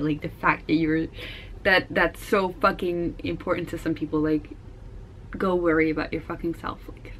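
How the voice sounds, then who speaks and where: A woman's voice: lowish voice, fairly bright tone, slight background noise. A Dutch teenage girl with a Dutch accent, answering viewer questions in a casual vlog, frank and blunt, swearing casually. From Netherlands. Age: teens. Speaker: vlogger.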